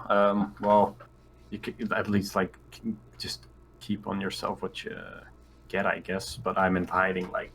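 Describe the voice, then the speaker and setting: Male roleplayer, very low pitch, casual halting talk, faint background noise and room ambience. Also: a bit bright, poor audio. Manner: halting, thinking aloud. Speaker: player. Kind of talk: casual tabletop roleplay chat.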